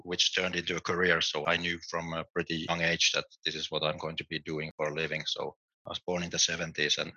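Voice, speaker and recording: A man's voice: deep voice, pushed and bright, no room sound. A middle-aged man from Finland, explaining things steadily in a podcast interview with small restarts. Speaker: guest, tech executive. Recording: dull, lo-fi dry audio.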